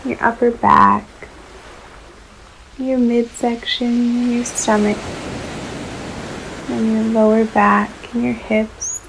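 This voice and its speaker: A woman leading a guided relaxation meditation in a soothing, unhurried manner, leaving long pauses between short phrases. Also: a little high, little pitch movement, vocal fry, fairly bright tone, slight background noise, rough recording.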